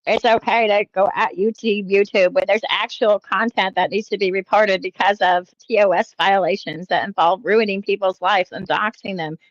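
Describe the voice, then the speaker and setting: A woman's voice: medium pitch, steady tone, normal speed, few pauses, no room sound, highs cut off. A woman hosting casual reaction commentary, blunt and mocking, often trailing off with fillers. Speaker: commentary host reacting to clips.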